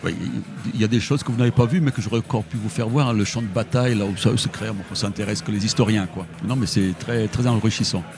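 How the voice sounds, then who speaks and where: A man's voice: fairly low pitch, steady tone, fast talker, few pauses, music in the background. A man from Portugal, a motorcycle tour guide, chatting as a guest in a casual radio interview with many restarts.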